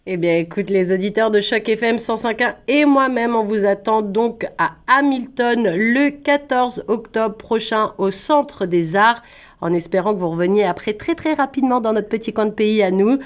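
A woman's voice: a little high, normal speed. A woman radio host from France, speaking with a French accent as she conducts an interview.

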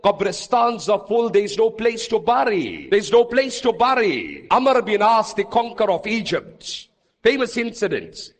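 A man with a high-pitched voice rings out in a long, echoing hall. He preaches an Islamic sermon with dramatic emphasis, leaning on repetition and rhetorical questions in an impassioned delivery. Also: big pitch swings, normal speed. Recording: long reverberant hall echo.

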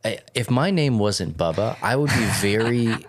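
A man's voice: on the low side, lively intonation, moderate pace, background noise. A man from Texas hosting a podcast in casual chat, rambling and correcting himself as he goes. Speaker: podcast host.

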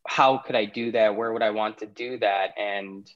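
Relaxed chatty man, muffled audio. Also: lowish voice, normal speed. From Pakistan. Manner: relaxed, chatty, laughs often. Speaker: podcast guest, startup CEO. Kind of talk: casual interview conversation.

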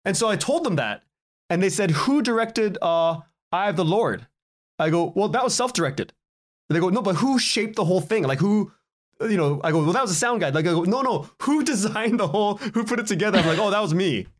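A man with a slightly high voice chats as a podcast guest. He tells anecdotes in an animated, conversational way, slipping into quick quoted exchanges as he goes. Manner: animated storytelling, acts out quoted exchanges, chuckles often. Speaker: guest, audio drama writer and director. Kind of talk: casual podcast interview, anecdotal.

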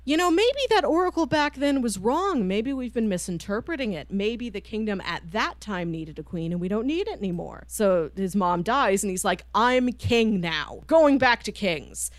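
Female podcast co-host, conversational and animated, medium pitch, very dry sound. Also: normal speed, few pauses, no room sound. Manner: animated storyteller, acting out quoted lines informally. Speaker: podcast co-host reviewing books. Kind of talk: casual conversational book discussion.

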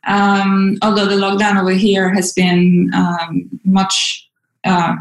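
A woman's voice: mid-range voice, monotone, slow pace. Venezuelan woman, hesitant, roomy sound. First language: Spanish. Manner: hesitant, many ums and restarts, laughs often. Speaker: guest. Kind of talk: casual remote interview.

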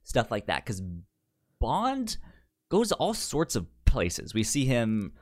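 A man co-hosting a casual film discussion podcast, speaking with a pronounced stutter that repeats sounds and syllables in a halting delivery. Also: mid-range voice, huge pitch swings. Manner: halting, hesitant delivery with frequent restarts. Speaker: podcast co-host.

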